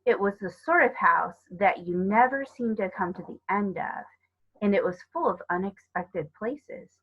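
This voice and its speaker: A woman narrating a children's story aloud in a measured, expressive read-aloud way. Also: medium pitch, husky, dull audio. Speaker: storybook reader.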